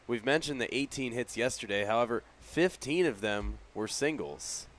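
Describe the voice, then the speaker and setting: A man's voice: mid-range voice, lively intonation, normal speed, fairly bright tone. A man giving analytical color commentary during a live baseball broadcast.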